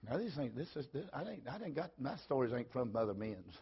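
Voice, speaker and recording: An older man preaches in a folksy, repetitive storytelling style. His husky voice sits a little high and rises and falls in lively swings. The recording is dull and lo-fi. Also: fairly quick. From Tennessee, USA. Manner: folksy, repeats phrases, swings from loud to soft. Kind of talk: sermon storytelling.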